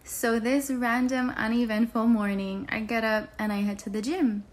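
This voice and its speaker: A woman's voice: fairly high pitch, fairly even intonation, normal speed. A woman in her twenties telling a personal story to camera in a casual, candid way with emphatic, slangy delivery. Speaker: vlogger. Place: indoors at home on a couch.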